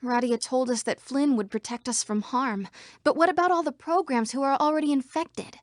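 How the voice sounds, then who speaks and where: A woman's voice: high-pitched, moderate pace, dry recording, clean studio sound, close to an everyday voice. An actress voicing female computer program citizens in a scripted video game. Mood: uneasy. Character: female computer program citizens, by turns frightened, defiant or official.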